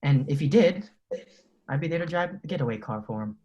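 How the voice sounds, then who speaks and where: An actor reading a protective, foul-mouthed high school best friend at a film table read. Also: male voice, animated, brisk, a little mellow, highs cut off, natural voice. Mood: confiding.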